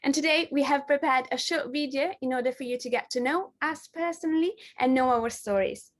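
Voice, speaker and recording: A woman from Morocco, raised in Italy, presenting a student collective with a clear, welcoming delivery. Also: sits high, brisk. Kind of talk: scripted introduction.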